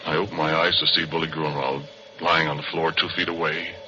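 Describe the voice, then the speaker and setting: An actor playing a spooked, plain-spoken oil-field worker in a 1940s radio drama, speaking folksy and rough. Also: male voice, extremely low pitch, flat delivery, brisk, background noise. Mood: haunted, uneasy. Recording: dull 1940s radio broadcast. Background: music.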